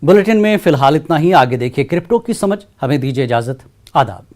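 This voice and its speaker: A man's voice: on the high side, lively intonation, brisk, darkish tone, slight background noise. A man with an Indian accent formally reading a scripted news bulletin as anchor.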